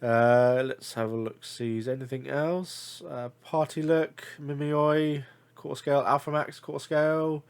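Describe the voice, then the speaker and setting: A man's voice: on the high side, fairly even intonation, a little slow, vocal fry, clear, resonant tone. Congested casual male vlogger. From United Kingdom. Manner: rambling, many fillers and self-corrections. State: congested, blocked nose. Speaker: figure collector reviewing deals. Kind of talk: casual screen-share commentary.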